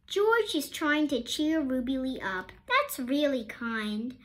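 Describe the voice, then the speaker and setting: A woman reading a children's picture book aloud, expressive and deliberate, pausing to talk to young listeners. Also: high-pitched. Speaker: storyteller.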